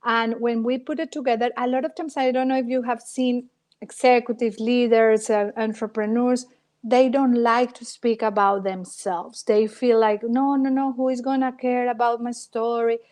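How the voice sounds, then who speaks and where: A woman's voice: fairly high pitch, moderate pace. A middle-aged woman from Colombia, speaking English with a Colombian Spanish accent as a native Spanish speaker, telling stories expressively in an interview. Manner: expressive, dramatic storyteller. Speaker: guest, former TV reporter. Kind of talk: storytelling interview.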